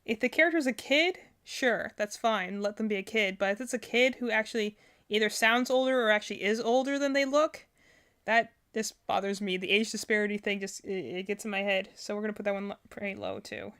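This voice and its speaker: A woman's voice: mid-range voice, a little fast, fairly bright tone, clear, resonant tone. A woman giving casual, unscripted reaction commentary as a streamer, rambling and thinking aloud as she goes. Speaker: streamer reacting to game videos.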